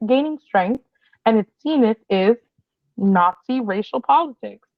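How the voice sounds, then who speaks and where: Gravelly-voiced woman on a dull, dry mic. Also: fairly high pitch, no room sound. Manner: explains history as a run-through, with quick agreeing replies. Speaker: podcast co-host. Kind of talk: casual chat.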